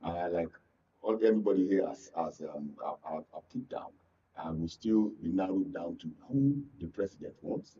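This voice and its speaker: A Nigerian man speaking as a guest in a political panel discussion, halting and repeating words for emphasis. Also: low-pitched, mellow, a little hiss or hum. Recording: dull, slightly distant studio sound.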